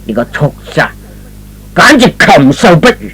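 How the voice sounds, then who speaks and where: A man playing a bitter, irate old father in a radio drama, scolding emphatically in a husky voice. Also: a little high, sing-song, measured pace, a bit bright, heavily acted. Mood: furious, bitter. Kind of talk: Cantonese radio drama acting.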